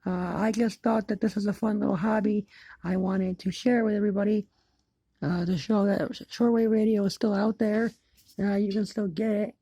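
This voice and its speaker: A woman with a low-lit, mellow voice and a lot of fry in it talks casually to her viewers. She is plain-spoken and halting, often circling back over what she said. The sound is close and dry. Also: steady tone, no echo, poor audio. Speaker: hobbyist video maker. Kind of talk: casual chat to camera. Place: indoors in an apartment, by a window.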